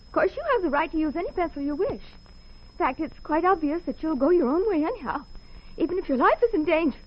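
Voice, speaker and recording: An American actress playing a prim, excitable secretary smitten with her detective boss, fussy and emotional, in 1940s radio drama style. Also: very high voice, brisk. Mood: scolding, wound up. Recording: muffled 1940s radio recording.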